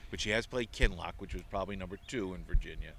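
A man's voice: low-pitched, fast, a bit bright, slight background noise. A middle-aged man, a guest on a golf podcast, telling rambling stories in casual interview talk. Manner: chatty, rambling storyteller. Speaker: guest, avid golfer.

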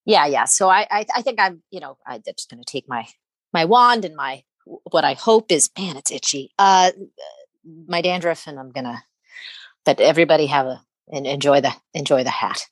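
A woman's voice: low voice, normal speed, dry recording. A woman playing an awkward, apologetic wizard student in improvised comedy, stammering and over-polite. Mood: flustered, apologetic. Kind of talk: improvised comedy sketch acting.

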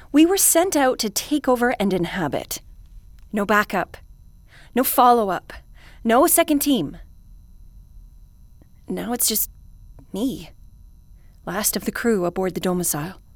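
A woman's voice: mid-range voice, lively intonation, measured pace, pauses often, dry recording, lightly acted. Woman as a weary, earnest spaceship commander. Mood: distraught. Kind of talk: audio drama acting.

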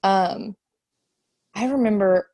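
A woman's voice: mid-range voice, slow, dark tone, dry recording. A woman in her twenties co-hosting a podcast in casual chat, blunt and teasing, laughing often. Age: 20s. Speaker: podcast co-host.

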